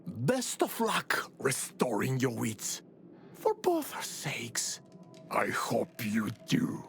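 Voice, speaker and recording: A vampire castle lady from a fantasy game, very low and gravelly. She toys with her listener in a languid, aristocratic drawl that swings widely in pitch. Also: huge pitch swings, slow, in a whisper, exaggerated voice. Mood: hushed. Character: blood-feeding vampire castle lady, coolly seductive, sly. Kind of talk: fantasy game dialogue acting.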